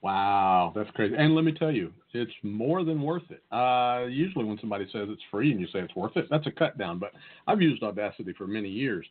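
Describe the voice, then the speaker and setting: A man's voice: lowish voice, lively intonation, fairly quick. A man with a Southern US accent chatting casually as a podcast co-host, sharing tech tips. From Southern United States. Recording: phone-like, narrow-band audio.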